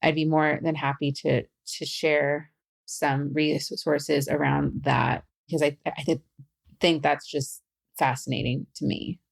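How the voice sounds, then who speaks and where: A young adult woman who stutters, speaking as a podcast guest in a relaxed conversational way, with halting phrasing and restarts. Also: low voice, gravelly. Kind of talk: conversational interview.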